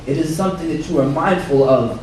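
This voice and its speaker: A man giving a reflective religious talk as an imam, hoarse from illness, quoting Arabic scripture in a measured way. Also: fairly high pitch, darkish tone. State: hoarse, losing his voice. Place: banquet hall. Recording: roomy far-off hall recording with faint noise.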